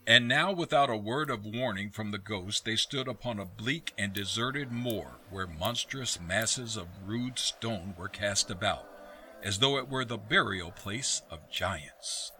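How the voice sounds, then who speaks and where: A man narrating a radio play in a measured, expressive, literary storytelling style. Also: on the low side, a little hiss or hum.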